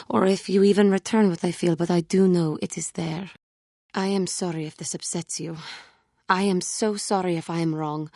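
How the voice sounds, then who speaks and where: An actress playing an earnest, solemn alien Jedi woman warrior in a video game, devoted but self-doubting, speaking in formal, measured phrases that halt with emotion. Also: lowish voice, darkish tone, breathy edge, dry recording, studio quality. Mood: nervous, tender confession. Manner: formal, measured diction without contractions, halting when emotional. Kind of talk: scripted video game character dialogue.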